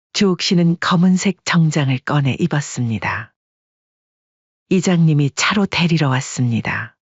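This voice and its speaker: Husky dry storytelling narrator. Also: mid-range voice, dry recording, dull audio. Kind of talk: scripted audiobook narration.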